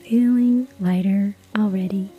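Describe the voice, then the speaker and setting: A woman narrating a cozy story in a hushed ASMR whisper, with soft laughs. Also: medium pitch, fairly even intonation, unhurried, phone-quality sound.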